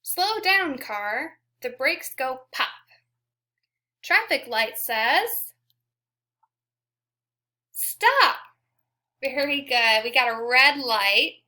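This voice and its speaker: A woman's voice: high voice, sing-song, extremely slow, long pauses, sharp tone. A woman teacher reading a picture book aloud to young children, asking questions and giving frequent encouraging praise. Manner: encouraging, prompting, repeats praise to listeners.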